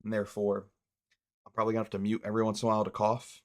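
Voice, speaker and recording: A young male streamer, raspy and hoarse from illness, talks in a lowish voice. He rambles casually, restarts phrases and pokes fun at himself. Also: completely flat delivery, rapid-fire.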